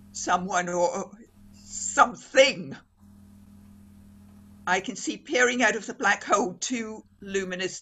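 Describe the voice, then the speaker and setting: A woman radio reporter played by an actress gives live, on-the-scene commentary in a radio drama. Her medium-pitched voice is a little husky. She speaks in formal, descriptive phrases with dramatic pauses and breathless awe. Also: pushed and bright, exaggerated voice. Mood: rising alarm. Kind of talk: radio play acting as live news commentary.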